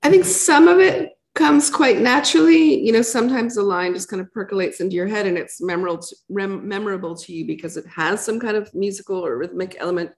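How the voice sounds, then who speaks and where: A woman's voice: medium pitch, fast talker, few pauses. A middle-aged woman poet answering audience questions in a reflective, conversational way, thinking aloud as she goes. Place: lecture room with a projection screen.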